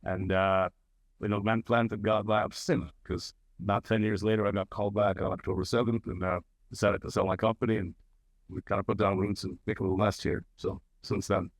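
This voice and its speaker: A young man speaking as a panelist who tells a personal story in a halting way, with many fillers and restarts. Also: fairly low pitch, little pitch movement, dry recording, rough recording.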